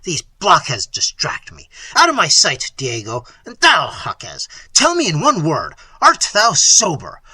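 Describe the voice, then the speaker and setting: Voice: medium pitch, huge pitch swings, unhurried, hardly any pauses, pushed and bright, husky, no room sound, heavily acted. A narrator voicing an imperious, hot-tempered prince in a storybook, giving commands in impatient, archaic language. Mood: furious, impatient.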